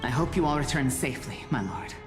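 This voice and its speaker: A woman's voice: a bass voice, animated, quick pace, reverberant, big-room sound, music in the background. A woman voicing a humble, earnest and respectful attendant in a fantasy video game.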